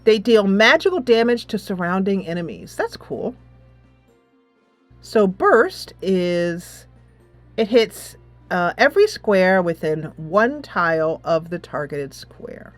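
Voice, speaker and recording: A woman's voice: mid-range voice, lively intonation, slow, dry recording, faint music behind. A woman gaming host giving casual commentary, thinking aloud as she plays a tactics game.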